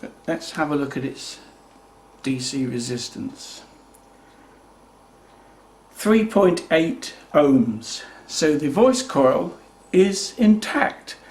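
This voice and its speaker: A man with a slightly high-set voice that has a husky, rough grain. He talks slowly and methodically, explaining step by step. It sounds like an unscripted hands-on demonstration by a hobby repairer. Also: big pitch swings, a little mellow. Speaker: presenter of a hobby repair video.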